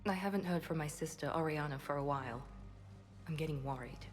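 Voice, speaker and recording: An actress playing a composed, guarded woman operative in a video game, speaking in a measured, wry, restrained way. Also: sits low, faint music behind, close to an everyday voice. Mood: worried. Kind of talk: video game cinematic dialogue acting.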